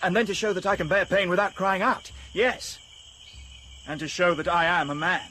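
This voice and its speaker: Radio drama: an earnest young villager, fairly high, over crickets. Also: male voice, sharp tone, a little hiss or hum. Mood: solemn. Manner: solemn, earnest, halting delivery. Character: earnest young man raised in a remote village. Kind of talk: BBC radio drama acting. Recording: insect and cricket ambience.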